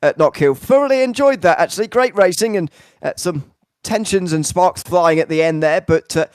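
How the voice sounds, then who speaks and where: A man's voice: high-pitched, moderate pace, clean tone, no echo, studio quality. A man hosting and commentating a sim racing broadcast. He interviews a driver and wraps up the night, rambling a little and using many fillers. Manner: rambling, filler-heavy, enthusiastic wrap-up.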